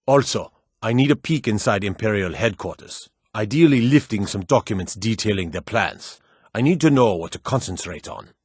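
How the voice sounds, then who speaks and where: A man playing a stern, battle-hardened military officer in a fantasy video game, brisk, commanding and gruffly matter-of-fact as he gives orders. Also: fairly low pitch, animated, no echo, clean studio sound. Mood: businesslike.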